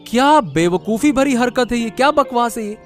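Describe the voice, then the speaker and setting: A man voicing a concerned, excitable village man in a cartoon, questioning and emphatic. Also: extremely high pitch, moderate pace, faint music behind. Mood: indignant.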